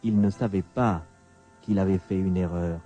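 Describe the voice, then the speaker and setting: A man's voice: sits low, steady tone, warm tone, dull audio. Suspenseful male storyteller over music. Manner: deliberate short phrases with suspenseful pauses. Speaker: radio drama narrator. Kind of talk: dramatic scripted storytelling.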